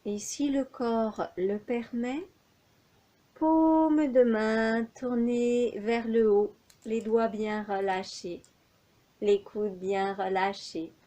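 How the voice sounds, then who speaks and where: Woman, yoga relaxation instructor, extremely unhurried, somewhat high pitch, calm, measured, soothing. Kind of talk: guided relaxation meditation.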